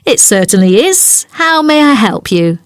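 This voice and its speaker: A woman's voice: a little high, very animated, a little slow, no echo, studio quality. A woman playing a polite, helpful job centre agent in a scripted language-test dialogue, courteous and businesslike.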